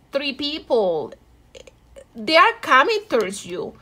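A woman's voice: sits high, bright, forceful tone. A woman from Venezuela with a Venezuelan Spanish accent, giving a casual, encouraging tarot reading and addressing viewers affectionately. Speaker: tarot reader.

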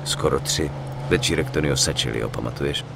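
Low-voiced, world-weary soldier hero. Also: male voice, gravelly, background noise, full character voice. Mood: dry, irritated. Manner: dry, blunt, swearing. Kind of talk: video game narration and dialogue.

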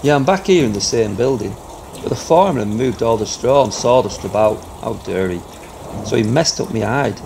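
A man's voice: lively intonation, moderate pace, gravelly, a little mellow. A middle-aged man, chatting casually to the camera about airgun pest control from inside a farm building. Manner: low-key, chatty, fillers like "you know". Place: farm building loft, indoors. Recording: noisy outdoor-farm ambience.

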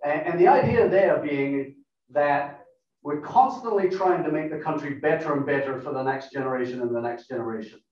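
A man with a funny non-American accent giving a measured lecture to a club meeting, pausing often with fillers. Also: on the high side. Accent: non-American English. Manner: measured, explanatory, with frequent uh fillers. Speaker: guest speaker, professor. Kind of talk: lecture talk with slides. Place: meeting room. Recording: echoey room, distant, dull lo-fi.

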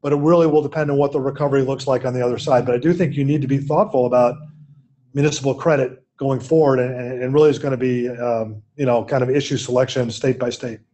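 Older man talking over a video call, mellow voice. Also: medium pitch, steady tone, fast talker. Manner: thoughtful, repeats words while thinking. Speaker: investment firm executive, guest. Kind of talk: keynote conversation, answering questions. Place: office at home.

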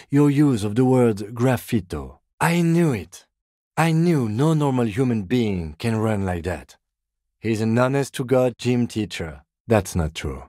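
A man playing a composed, dutiful police lieutenant in a video game, speaking in a measured, precise, formal and understated way. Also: lowish voice, warm tone, no echo, clean studio sound. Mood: pointed, incredulous, then earnest, measured. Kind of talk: scripted game dialogue.

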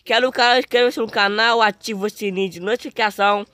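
Young brazilian guy doing slangy game commentary, kinda hoarse, close dry sound. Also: high voice, fairly even intonation, quick pace, bright, forceful tone, dry recording. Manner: informal, slangy. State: slightly hoarse. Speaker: gaming video host. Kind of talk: casual gameplay commentary.

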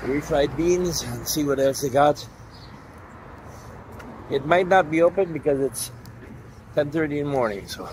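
A middle-aged man with a slightly high voice narrates a casual travel vlog. He talks straight to his viewers, pointing out sights as he goes. The recording is made outdoors on a handheld camera, with faint street noise. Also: animated, unhurried, pauses often, poor audio. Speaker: travel vlogger. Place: outdoors on city streets and parking lots. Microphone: phone or camera at arm's length.

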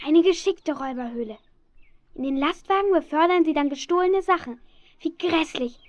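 A woman's voice: high voice, moderate pace, close to an everyday voice. A woman playing a cautious, doubting teenage girl in a children's radio play, hesitant and questioning. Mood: doubtful, worried. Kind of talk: 1970s German children's radio play acting. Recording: dull, muffled old audio drama recording.

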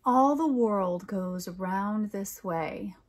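A middle-aged American woman reads a picture book aloud, adding expressive, wondering comments for young listeners. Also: medium pitch, drawn-out words. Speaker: storytime reader and teacher.

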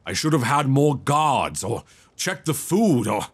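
A man playing a guilt-stricken, tender young prince in a romantic audio roleplay, halting, earnest and given to sighs. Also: mid-range voice, slightly rough, exaggerated voice.

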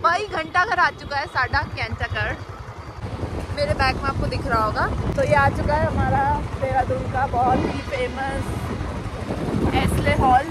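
A young Indian woman with a high, bright voice chats eagerly into her phone while riding pillion through city streets at night. She mixes Hindi and English freely. Heavy wind and traffic roar over her. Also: a little slow. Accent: Indian, Hindi with English mixed in. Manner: chatty, excited, code-switching Hindi and English. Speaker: vlogger. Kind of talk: casual travel vlog. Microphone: phone held at arm's length. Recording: loud wind and traffic noise.

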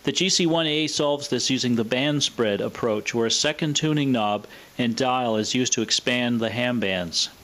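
A man presenting a scripted, explanatory product demonstration in a measured, matter-of-fact way. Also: medium pitch, a bit bright. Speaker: presenter of a vintage radio showcase video.

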